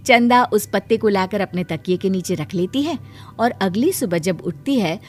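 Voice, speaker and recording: A woman's voice: medium pitch, animated, normal speed, darkish tone, faint music behind. A woman narrator reading a scripted children's cartoon story.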